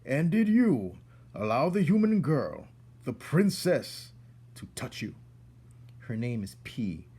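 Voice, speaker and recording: A man's voice: medium pitch, very animated, slow pace, warm tone, breathy edge, voice pushed up, heavily acted. A man voicing a stern, pompous old head mouse who declaims formally and indignantly in a storybook.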